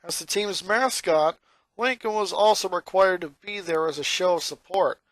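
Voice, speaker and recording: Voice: mid-range voice, normal speed, a bit bright, dry recording. A narrator reading a fan fiction story aloud as an amateur.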